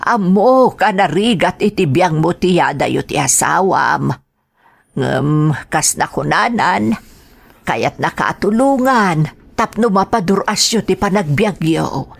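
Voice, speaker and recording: A woman's voice: on the low side, lively intonation, takes it slowly, creaky, full character voice. A woman with an Ilocano accent acting a caring older mother who counsels her grown son in an audio drama. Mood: concerned, gentle.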